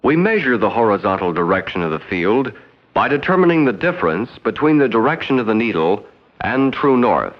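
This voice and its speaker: An American man narrating a 1960s educational film in a formal, measured, no-nonsense way. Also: fairly low pitch, very animated. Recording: dull 1960s 16mm film soundtrack.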